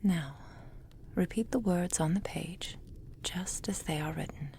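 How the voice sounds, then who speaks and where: A woman voicing a possessive, soothing, long-lived witch in a whispered ASMR roleplay, coaxing and doting in a breathy voice. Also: fairly low pitch, a little slow, darkish tone, a little hiss or hum.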